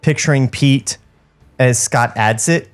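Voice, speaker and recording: A man's voice: medium pitch, takes it slowly. A man co-hosting a podcast, chatting casually and reading out stage directions from a script. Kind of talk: casual chat and script narration. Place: podcast studio.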